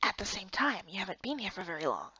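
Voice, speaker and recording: Game character: self-assured young male adventurer, played by a woman, teasing and smooth, hushed and lowered, dry close sound. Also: sing-song, fast talker, dry recording, lo-fi sound, exaggerated voice. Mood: cool, suspicious. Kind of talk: visual novel game character dub.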